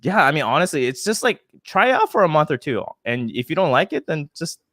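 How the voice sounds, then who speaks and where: A man in his thirties hosting a casual tech chat, calm and relaxed, with a laid-back delivery. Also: fairly high pitch, quick pace, no echo, clean studio sound. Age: 30s. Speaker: host, tech channel.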